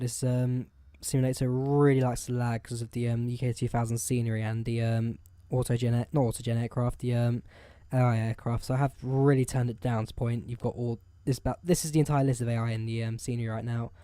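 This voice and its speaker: A man's voice: mid-range voice, level tone, fast, hardly any pauses, dark tone, clear, resonant tone, no room sound. A man with a sore throat from flu, casually talking through a flight simulator session step by step. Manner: chatty, explains each step as he goes. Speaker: flight simulator gameplay host. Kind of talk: casual gameplay commentary.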